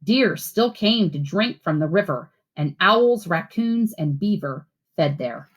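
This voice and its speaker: A woman reading a children's picture book aloud like a teacher, with clear phrasing and expressive, instructive delivery. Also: on the low side, a little slow. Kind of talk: storybook read-aloud for children.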